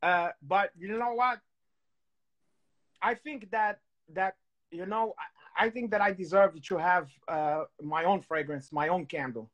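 A man's voice: sits high, unhurried, fairly bright tone, lo-fi sound. A man in his thirties co-hosting a casual show over a video call, joking and teasing and rambling with many restarts. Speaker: co-host. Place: home room with candlelight.

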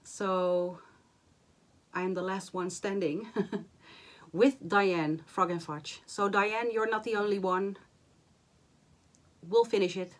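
A woman in her forties, hoarse and a little nasal after the flu, chatting to camera about her stitching projects from a small home craft room, with many fillers and restarts. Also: mid-range voice, steady tone, slow, long pauses. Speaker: cross-stitch vlogger. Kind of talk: casual chat to camera.

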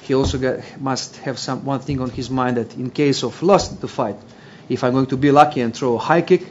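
A man answers questions at a press conference in halting, non-native phrasing, slipping in dry jokes. The recording is dull and lo-fi, with a faint hiss behind him. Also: mid-range voice.

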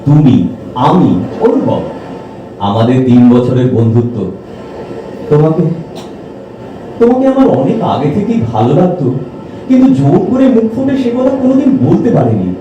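Actor as self-effacing young man in love, mellow, earnest, hesitant, very echoey, distant mic. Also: fairly high pitch, wildly swooping pitch, moderate pace, pauses often, muffled recording. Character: Rahul, gentle, self-effacing, anxious young suitor. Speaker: amateur stage audio drama actor. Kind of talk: Bengali audio drama acting. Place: multipurpose hall performance. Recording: echoey hall, distant mic, faint noise.

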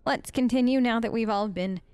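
A woman's voice: on the high side, fairly quick, a little mellow, clean tone, no echo. A woman doing casual role-play gaming commentary as a let's play host, with a hesitant, wry manner.

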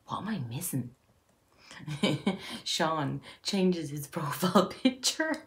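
A woman's voice: low-pitched, big pitch swings, takes it slowly. A young Irish woman at home, chatting playfully through a reaction video with whispered asides. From Ireland. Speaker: YouTuber. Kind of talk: casual reaction commentary. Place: indoors at home.

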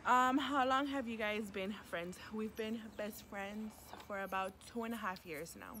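A woman's voice: medium pitch, a little slow, pushed and bright. A woman in her twenties in casual vlog chat, slangy and profane, slipping into Spanish, recorded outdoors. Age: 20s. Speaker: vlog co-host. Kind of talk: casual chat answering questions. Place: outdoors by a parking area. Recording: noisy outdoor ambience.

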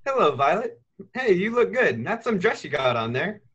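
A man's voice: sits high, sing-song, normal speed, a bit bright, slightly rough, highs cut off, heavily acted. A young man playing an eager, loyal youth who dreams of travel in a radio play. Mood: upbeat. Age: young adult.

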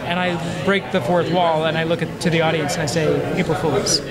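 A man's voice: on the high side, flat delivery, a little fast. A man, an actor guest, chatting playfully in an interview at a busy fan event and laughing readily. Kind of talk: casual interview chat. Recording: loud crowd noise. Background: loud crowd chatter.

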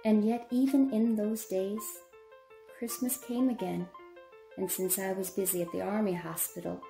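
A woman playing a gentle mother wistfully recalling her daughters, narrating an old-time radio drama. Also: medium pitch, steady tone, moderate pace, vocal fry, natural voice. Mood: wistful, tender. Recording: roomy echo, music underneath.

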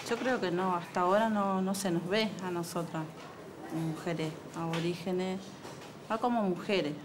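Low-pitched hesitant woman, noisy background. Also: moderate pace. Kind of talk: group discussion. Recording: noisy ambience over the voice.

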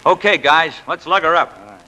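Eager sorry young pal, old radio, really sharp voice, echoey hall. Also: male voice, fairly high pitch, expressive, normal speed. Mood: contrite. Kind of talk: 1940s radio acting. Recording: reverberant old radio broadcast.

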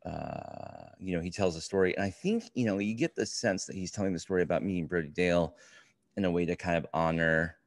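A middle-aged man chats as a podcast co-host in a very deep voice. He rambles haltingly through his thoughts. The sound is dry, with little room in it. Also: animated, dry recording. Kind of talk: casual book review chat.

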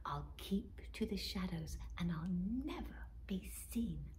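A woman's voice: extremely high pitch, a little slow, warm tone, a little hiss or hum, voice pushed up. A woman voicing a small, eager young female robin in a storybook, with breathless, whispery chirps. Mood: sneaky whisper.

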